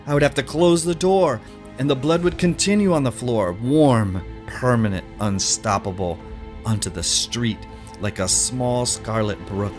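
A man narrating a first-person short story dramatically, with deliberate pauses and emotive emphasis. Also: mid-range voice, faint music behind.

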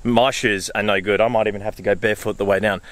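An Australian man in his thirties chatting casually and excitedly to camera outdoors, in exclamatory, slangy speech. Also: fairly low pitch, quick pace. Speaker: vlogger. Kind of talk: casual vlog chat to camera. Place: outdoors on a mountain trail and car park. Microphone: handheld camera at arm's length.

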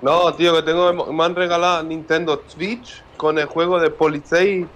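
A man's voice: fairly high pitch, fairly even intonation, normal speed, fairly bright tone. A man on voice chat joking about his Christmas gifts, mixing Spanish with bits of German. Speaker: caller on voice chat. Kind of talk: casual chat.

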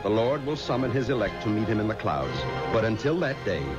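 A man's voice: on the low side, very animated, brisk. An actor playing a defiant, devout man with a declamatory delivery in a radio horror drama. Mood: righteous. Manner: declamatory, righteous. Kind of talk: 1970s radio drama acting. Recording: muffled radio recording under loud music.

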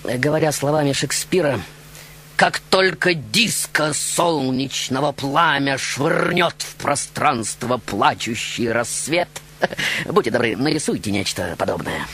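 A man hosting a radio show, delivering a scripted literary monologue in a dramatic, deliberate, theatrical manner. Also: mid-range voice, lively intonation, a bit bright, a little hiss or hum. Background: music behind the voice.

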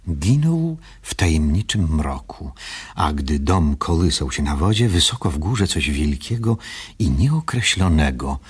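A man narrates a storybook in a very low voice. It is extremely husky and raspy, yet the intonation moves in a lively way through the scripted narration. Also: unhurried.

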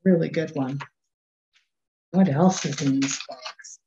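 Slow, gentle woman leading a children's talk. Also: deep voice, a little hiss or hum. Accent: Canadian. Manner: gentle, unhurried, coaxing questions to children. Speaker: children's time leader. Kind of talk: children's story at a church service. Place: small office at home. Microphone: webcam on a video call. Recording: dull, muffled webcam sound.

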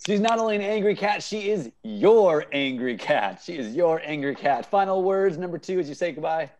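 Upbeat guy hosting a silly dating game on video call, highish voice that swings all over. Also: lively intonation, moderate pace, darkish tone, muffled recording. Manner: upbeat, repeats answers back. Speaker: improv teacher and game show host. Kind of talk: playful game show hosting. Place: indoor room at home, on a video call.

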